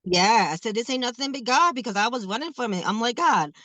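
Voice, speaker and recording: A woman's voice: fairly high pitch, lively intonation, a little fast, bright, forceful tone, dry recording. A woman around forty, a guest who performs a rhythmic, emphatic spoken word poem and then talks casually with laughs. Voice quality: says she stutters, not heard. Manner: rhythmic, emphatic poetry delivery with laughs between phrases.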